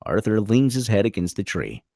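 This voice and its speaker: A man's voice: lowish voice, big pitch swings, a little fast, creaky, dark tone, dry recording, studio quality. A man narrating a screenplay's stage directions at a table read, theatrical and punchy in short clipped phrases.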